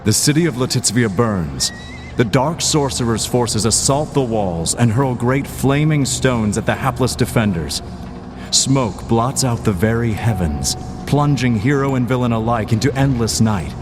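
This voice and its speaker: An actor playing a grave storyteller, giving solemn, grandiose narration for a fantasy audio drama. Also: male voice, mid-range voice, lively intonation, unhurried, background noise, lightly acted. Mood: solemn, ominous. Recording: loud music behind the voice.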